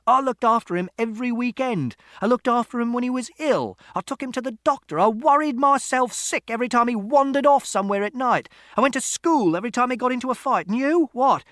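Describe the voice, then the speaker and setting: A man's voice: mid-range voice, dry recording, studio quality, close to an everyday voice. Man acting a volatile, desperate father. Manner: shouting and swearing. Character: furious, foul-mouthed father, desperate and defensive. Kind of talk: audiobook character acting.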